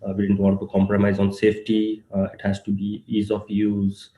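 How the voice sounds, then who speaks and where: A man with a cold speaking haltingly as a startup founder on a virtual panel, with many ums and pauses. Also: fairly low pitch, completely flat delivery, mellow, reverberant, dull audio. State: has a cold. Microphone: video call.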